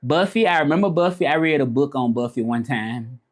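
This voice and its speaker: A man's voice: mid-range voice, lively intonation, fairly quick. A man in his thirties from Memphis with a Southern accent, rambling and chatting casually with viewers on a live stream. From Memphis, Tennessee. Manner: rambling, chatty, repeats himself. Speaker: vlogger answering live chat.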